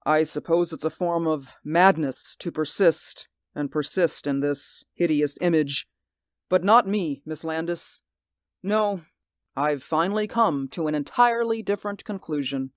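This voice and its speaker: An actor in a 1940s radio drama playing a haunted, sleepless man who pleads in an intense, hushed voice. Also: a little high, a little slow, no echo, studio quality, natural voice. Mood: brooding.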